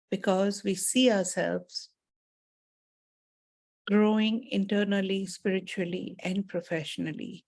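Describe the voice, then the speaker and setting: A woman leading a guided meditation and spiritual talk in a measured, soothing manner, pausing often and deliberately between phrases. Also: mid-range voice, a little mellow.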